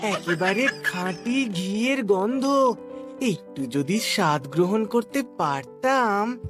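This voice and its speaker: A woman plays a greedy, food-loving cartoon figure. The words come extremely slowly, savoured and drawn out at a middling pitch. Loud music sits behind. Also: lively intonation. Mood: longing, delighted. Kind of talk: comic cartoon voice acting.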